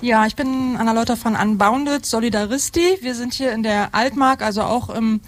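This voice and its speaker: A woman's voice: a little high, moderate pace, slight background noise. A woman activist informally introducing her local group at a live panel. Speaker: member of a local refugee aid group.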